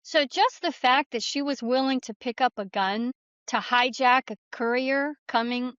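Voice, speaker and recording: A woman's voice: sits high, measured pace, a bit bright, no echo, dull audio. A woman interview guest explaining things conversationally, with plainspoken, folksy phrasing. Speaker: author guest being interviewed.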